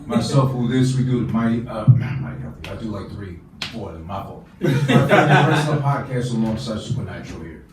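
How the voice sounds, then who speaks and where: A deep-voiced man chats loosely on a podcast, stopping to correct himself as he goes. He sits well back from the mic in a very echoey room. Steady noise hums behind him. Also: normal speed, recorded from a distance. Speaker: fellow podcaster guest. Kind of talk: casual podcast chat.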